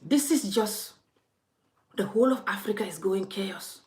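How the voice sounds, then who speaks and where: A woman's voice: mid-range voice, animated, a little slow, noisy background. A woman vlogging in a forthright, scolding way, speaking straight to the viewer as she makes her case. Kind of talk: impassioned vlog rant. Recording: echoey room.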